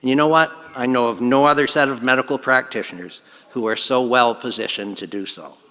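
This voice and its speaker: A man giving a formal, earnest convocation address at a podium, his phrases trailing off softly. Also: medium pitch, fairly quick, in a large hall, low-quality recording. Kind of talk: formal ceremonial speech. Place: large hall.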